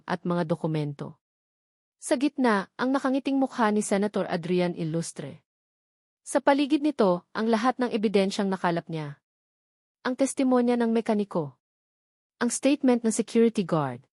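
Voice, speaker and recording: A woman's voice: medium pitch, no echo. Woman reading a dramatic story, dry sound. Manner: even, measured reading with short dramatic sentences. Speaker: narrator. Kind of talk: scripted storybook narration.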